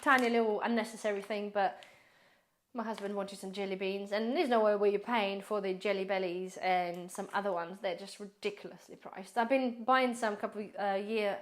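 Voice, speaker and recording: A woman prepper vlogger chatting casually through a grocery haul, rambling, addressing viewers as guys, her voice slightly hoarse. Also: medium pitch, steady tone, moderate pace. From based in the UK.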